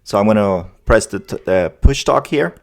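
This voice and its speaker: A man's voice: on the low side, lively intonation, normal speed, vocal fry. Casual man presenting a tech demo. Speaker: host demonstrating an AI agent setup. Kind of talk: casual screen-demo narration.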